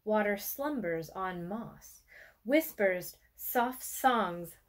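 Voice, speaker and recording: A woman in her thirties reading aloud to children as an educator, in an expressive storytime style with measured, deliberate phrases and pauses. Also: medium pitch. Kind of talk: expressive children's picture-book read-aloud. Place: small furnished room with armchair and bookshelf, indoors.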